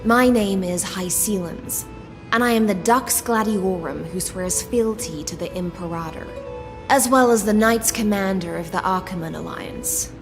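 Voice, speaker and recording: An actress playing a dutiful, courteous female knight commander in a fantasy game, speaking in a formal, measured, deferential way. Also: mid-range voice, long reverb tail, over music, lightly acted. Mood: formal, proud. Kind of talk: scripted fantasy game dialogue.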